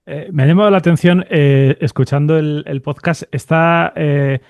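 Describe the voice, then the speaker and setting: A man with a soft-edged, mellow voice hosts an interview in a very dry recording. He builds his questions slowly and reflectively, hesitating and checking with short tag questions. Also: fairly high pitch, fairly even intonation, clear, resonant tone, dry recording. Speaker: podcast host, interviewer. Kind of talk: conversational interviewing.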